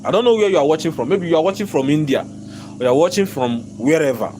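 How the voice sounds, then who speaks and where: Hoarse man ranting in his shop. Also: a little high, expressive, fast, darkish tone, slight background noise. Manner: repetitive, emphatic, stammering restarts, bursts of laughter. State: hoarse, lost voice. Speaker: shop owner vlogger. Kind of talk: angry ranting vlog. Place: inside his own shop.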